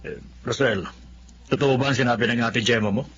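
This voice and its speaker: A man's voice: medium pitch, steady tone, brisk, fairly bright tone, breathy edge. A man playing a confident, blunt older brother back from working abroad, in a Filipino radio drama. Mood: concerned, persuasive. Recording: slightly muffled radio drama audio.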